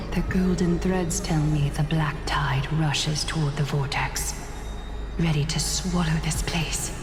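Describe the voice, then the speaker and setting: Slow, hushed prophetess in echoing halls. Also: female voice, fairly low pitch. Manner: stately, hushed, formal pronouncements. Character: solemn regal prophetess, weaver of fate, resigned. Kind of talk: dramatic fantasy game voice acting. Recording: echoey hall reverb under music.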